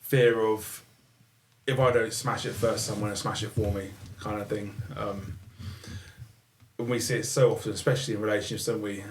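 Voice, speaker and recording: A man co-hosting a casual podcast chat, easygoing and teasing, calling his friends mate. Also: on the low side. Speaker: podcast co-host. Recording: echoey room.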